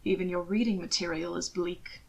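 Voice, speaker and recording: Woman reading as a dry, teasing gentleman lord. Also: fairly low pitch, steady tone, normal speed, vocal fry, dull audio, voice pushed down. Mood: amused, goading. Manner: dry, teasing, formal period phrasing. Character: teasing, amused aristocratic gentleman, Lord Clarges. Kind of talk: fiction read aloud, character dialogue.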